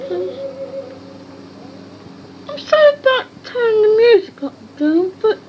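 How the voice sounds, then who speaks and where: A squeaky, very high woman's voice that rises and falls in lively swoops as it rambles on in a chatty, reassuring way. The sound comes off a dull, muffled archival tape with a faint hiss underneath. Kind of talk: spoken messages to absent listeners.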